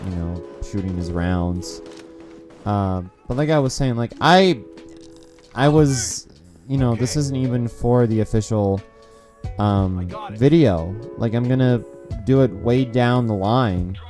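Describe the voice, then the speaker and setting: A man's voice: on the low side, mellow. A young man giving a casual, rambling game review, restarting sentences often and swearing, his throat dry so his voice cracks. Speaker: gaming video creator.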